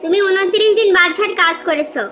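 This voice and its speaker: Woman acting a very high-pitched, demanding wife. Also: quick pace, soft background music. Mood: questioning. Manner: sharp-tongued, questioning. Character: demanding, scolding wife in a poor household. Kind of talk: dubbed religious cartoon acting.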